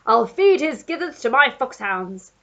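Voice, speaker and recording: Woman doing a loud pompous old duke, bellowing, high and lively, muffled recording. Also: unhurried, full character voice. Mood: blustering. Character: blustering old aristocratic male Duke.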